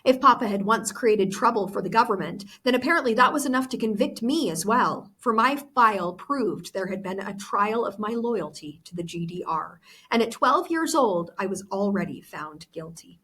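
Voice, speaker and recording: A woman's voice: mid-range voice, animated, moderate pace, a bit bright, husky. A woman teacher reading a novel aloud expressively to students. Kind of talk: expressive book read-aloud.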